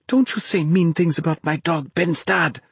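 A man voicing a feisty, bossy girl who is protective of her dog, scolding in a hushed way. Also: medium pitch, a little fast, slightly rough, no room sound.

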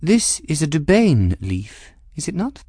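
A man's voice: fairly high pitch, wildly swooping pitch, measured pace, dark tone, husky, no echo, clean studio sound, pitched above the performer's own voice, full character voice. A man voicing a poised, scheming aristocratic woman who speaks with cool, cutting mockery. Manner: poised, cutting, lightly mocking. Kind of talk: audiobook character voice.

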